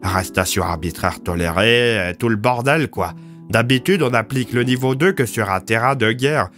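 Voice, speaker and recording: Un homme qui joue un sergent de l'armée, direct et peu convaincu, au parler familier et factuel, dans une fiction audio. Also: male voice, medium pitch, normal speed, fairly bright tone, soft background music, voice pushed up, close to an everyday voice. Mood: reluctant, matter-of-fact. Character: blunt, unconvinced army sergeant explaining a protocol. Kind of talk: audio fiction character acting.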